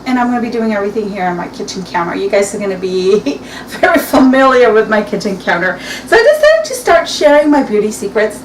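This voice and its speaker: A woman of about forty-two talks casually and chattily, often circling back and restarting her sentences. She is recorded by a camera mic set some way off in a kitchen that sounds echoey. A steady household hum runs clearly underneath. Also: medium pitch, a little fast, hardly any pauses. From Mexican heritage. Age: 40s. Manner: chatty, rambling with frequent restarts and fillers. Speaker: beauty vlogger. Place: home kitchen, indoors. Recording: echoey room, distant mic, clear background noise.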